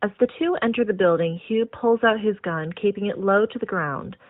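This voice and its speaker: A woman's voice: medium pitch, normal speed, phone-quality sound. A woman reading scripted scene narration for an audio drama, describing action as a narrator.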